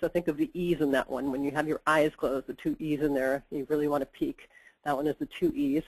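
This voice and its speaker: A woman's voice: deep voice, fairly even intonation, fairly quick, husky, dry recording, rough recording. Woman with a stuffy cold, dry close sound, explaining editing tips, chatty webinar guest. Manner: practical explanatory tone, frequent "you know". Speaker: book editor. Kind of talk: webinar interview answers.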